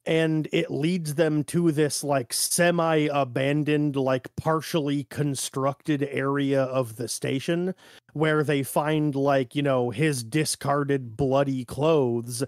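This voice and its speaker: A man co-hosting a podcast, casually talking through his notes in a halting way and often saying "like". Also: mid-range voice, steady tone, darkish tone, no room sound, studio quality. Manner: halting, peppered with "like". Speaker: podcast co-host.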